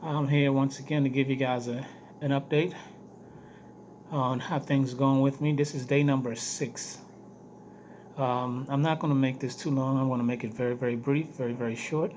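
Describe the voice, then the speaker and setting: A man with a hoarse, weak voice giving a casual video diary update, speaking haltingly and repeating phrases for emphasis. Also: medium pitch, fairly even intonation, a little mellow. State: hoarse, weak voice after throat surgery. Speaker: vlogger giving health updates. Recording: noticeable background noise.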